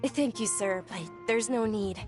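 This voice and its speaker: A woman playing a gentle, polite, frail young noblewoman in a video game. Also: fairly high pitch, a little slow, music in the background, natural voice. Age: young adult.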